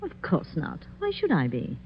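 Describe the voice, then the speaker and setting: A woman's voice: sits low, huge pitch swings, normal speed, exaggerated voice. Witty arch lady writer, voice goes up and down, old 1940s radio show, muffled. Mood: defensive, unsettled. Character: Roberta Stone, arch, nervy female mystery writer. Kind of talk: 1940s radio mystery acting. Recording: muffled, hissy vintage 1940s radio recording.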